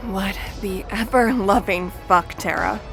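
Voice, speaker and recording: A woman's voice: mid-range voice, a little slow, vocal fry, a bit bright, soft background music. Woman playing a defiant, sarcastic teenage outcast girl. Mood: irritated. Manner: blunt, biting sarcasm. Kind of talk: audio drama acting.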